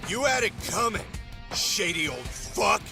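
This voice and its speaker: Insolent teenage boy thug, high-pitched, threatening, foul-mouthed, game acting, music in background. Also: big pitch swings, a little slow, exaggerated voice. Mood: vicious. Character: vicious, insolent teenage boy gang member. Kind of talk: video game dramatic acting.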